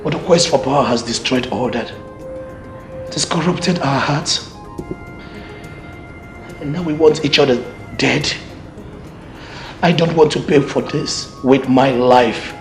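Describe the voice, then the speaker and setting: An actor playing a tired, pained prince who wants to walk away from the throne. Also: male voice, medium pitch, a little slow, long pauses, reverberant, faint music behind, close to an everyday voice. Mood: anguished. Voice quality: earnest, pained. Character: weary prince ready to give up the throne.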